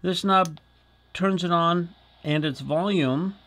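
A man's voice: on the high side, slow, noisy background. A middle-aged man, chatty and explanatory, hosting a casual product demonstration in a small indoor studio. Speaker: product review host.

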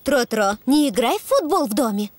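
A woman voicing a loving, gently firm donkey mother in a preschool cartoon. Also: high voice, very animated, moderate pace, faint music behind.

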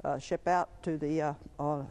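An older woman, a farm owner, speaking haltingly with many pauses and fillers in a news interview in a greenhouse. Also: low voice, steady tone, darkish tone.

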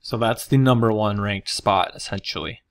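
Man, lowish voice, relaxed tutorial voice-over, thinks aloud, plain desk mic. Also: moderate pace, creaky. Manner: casual, explanatory, thinks aloud with fillers. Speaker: marketing consultant. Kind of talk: screen-recorded software tutorial.

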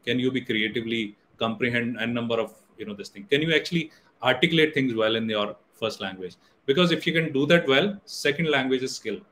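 A man's voice: mid-range voice, fairly bright tone, slight background noise. Indian man lecturing over a video call. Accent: Indian English. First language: Hindi. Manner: reflective, halting, many fillers and restarts. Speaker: guest lecturer. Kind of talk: online guest lecture. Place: indoor room on a video call. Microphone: webcam or laptop mic on video call.

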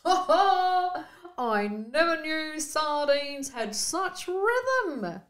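Shy, gentle male blobfish played by a woman, storybook, earnest, raised pitch, slow delivery. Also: very animated, heavily acted. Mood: amused.

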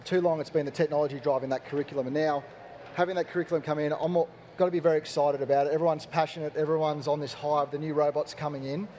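A man speaking as a school IT manager on a conference panel, brisk and enthusiastic in a practical way. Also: a little high, steady tone, mellow, slight background noise. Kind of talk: panel discussion answer.

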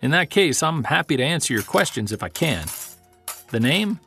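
An actor playing a calm, courteous investigator who explains things in a measured way in an audio drama. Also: male voice, a little high, faint music behind, heavily acted. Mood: informative. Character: calm, polite male investigator of mysterious creature sightings. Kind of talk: audio drama dialogue and narration.

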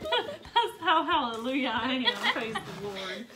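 A woman's voice: sits high, sing-song, slow pace, heavy background noise. A woman in her thirties chatting casually and theatrically as she hosts a homemade craft demonstration, with folksy, silly exclamations. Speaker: craft video host, mother. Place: small room at home. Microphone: camera or phone a short way off.

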